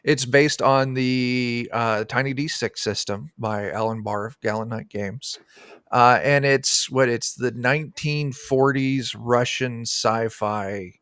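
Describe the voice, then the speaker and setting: A man's voice: mid-range voice, steady tone, takes it slowly, fairly bright tone, clear, resonant tone, no room sound, studio quality. A middle-aged man, a guest game designer, chatting casually in an interview on a video call. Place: indoor room, on a video call.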